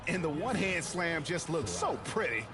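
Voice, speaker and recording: A man's voice: fairly high pitch, big pitch swings, normal speed. A man performing as an opinionated color analyst in a basketball video game, explaining play conversationally. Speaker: color commentator. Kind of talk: sports analysis commentary. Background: music, bouncing basketball.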